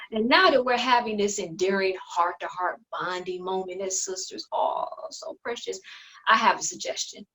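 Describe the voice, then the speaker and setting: A woman's voice: on the high side, fairly bright tone, rough recording. A woman acting a haughty, dismissive sister with a mocking, condescending delivery in a dramatic play reading. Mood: cool sarcasm.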